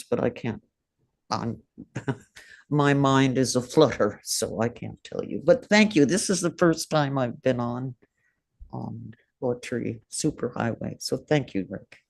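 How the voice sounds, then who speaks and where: An older woman reading her own poem over a video call, soft and halting. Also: rumbling low, gravelly, no echo. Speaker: poet reading her work. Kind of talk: poetry reading and casual chat.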